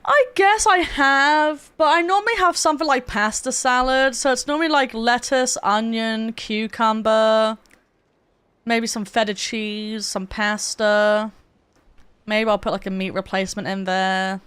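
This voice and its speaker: A woman's voice: a little high, fairly even intonation, measured pace, a bit bright, smooth, no echo. A woman livestreamer chatting casually while gaming, with a sweary, joking and rambling manner. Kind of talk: casual game stream chat. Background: game music.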